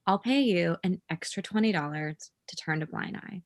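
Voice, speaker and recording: A woman's voice: on the low side, normal speed, close to an everyday voice. A woman playing a scheming, matter-of-fact poison buyer in a comedy table read. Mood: probing.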